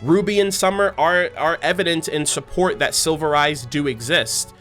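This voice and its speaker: A man's voice: on the high side, moderate pace, fairly bright tone, faint music behind. A man giving casual, enthusiastic, rambling fan commentary as a channel host. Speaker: host of a fan channel about an animated series.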